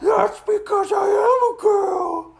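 A woman's voice: takes it slowly, vocal fry, darkish tone, full character voice. A woman voicing a huge, touchy, greedy girl dragon in a storytelling, with a squawky, indignant, whining delivery. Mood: indignant, shrieking. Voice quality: shrill, squawky. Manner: indignant, whiny outbursts.

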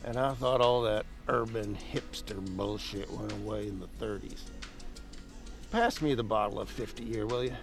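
A man's voice: lowish voice, moderate pace, vocal fry, over music. An actor in an audio drama playing a crude, belligerent lawman, grumbling in a scornful drawl.

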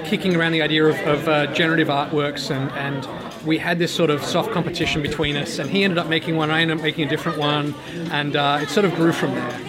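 Enthusiastic man in loud crowd. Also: fairly high pitch, flat delivery, fast talker. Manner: chatty, enthusiastic, many restarts. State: voice getting worn. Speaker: maker showing his project. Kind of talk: casual interview at an event. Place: busy indoor fair hall. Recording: loud crowd noise behind. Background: loud crowd chatter.

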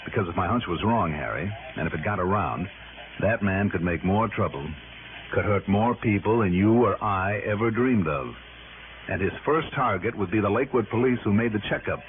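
An American actor playing a tough, self-assured private investigator in 1960s radio drama, speaking in an emphatic, wry, persuasive way. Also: male voice, fairly low pitch, moderate pace, natural voice. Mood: urgent, insistent. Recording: noisy, tinny old radio broadcast recording.

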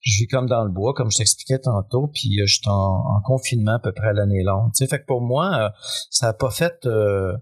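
A man with a Québécois French accent, talking as a guest in a relaxed, rambling way with many fillers. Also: on the low side, a little fast. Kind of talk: casual conversation.

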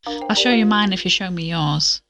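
A woman's voice: on the low side, normal speed, music in the background, natural voice. A woman acting as a wry, impatient young investigator in an audio drama, blunt and teasing with her friends. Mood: casual, teasing.

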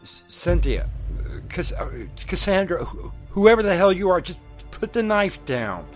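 A man's voice: high voice, very animated, measured pace, faint music behind. An actor playing an attorney confronting his lover, probing and accusatory, breaking into stammers. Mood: panicked. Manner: probing, then agitated and stammering. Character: confrontational male attorney, growing panicked. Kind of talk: horror radio play acting.

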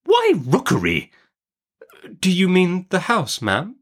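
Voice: medium pitch, huge pitch swings, slow, pitched above the performer's own voice, heavily acted. A narrator voicing a brusque, imperious older great-aunt in an audiobook, emphatic and commanding. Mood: brusque, incredulous.

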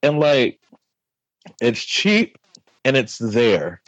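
A man talking as a podcast guest, relaxed, explaining things plainly in casual chat. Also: mid-range voice, drawn-out words, no echo, highs cut off.

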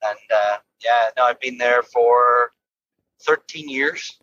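Bright-voiced Irish man talking from a car. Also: medium pitch, slow pace, highs cut off, a little hiss or hum. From Ireland. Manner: easygoing, laughs often, repeats words. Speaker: golf coach guest. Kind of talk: casual interview chat from a car.

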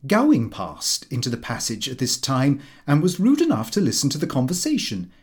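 A man's voice: medium pitch, huge pitch swings, brisk. A British man reading a comic diary as an audiobook narrator, in character with a fussy, indignant delivery.

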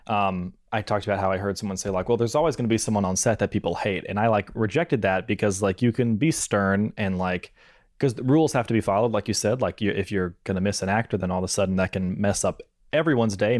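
A man's voice: fairly low pitch, a little mellow, no echo, clean studio sound. A man hosting a casual interview, agreeable and talking through his ideas with frequent "like"s. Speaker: podcast host.

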